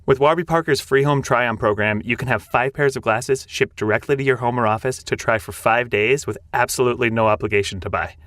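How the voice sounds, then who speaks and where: A man's voice: medium pitch, a little fast, hardly any pauses, a bit bright, dry recording, studio quality. A male podcast host reading a sponsor ad in an upbeat, conversational way. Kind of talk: sponsor read.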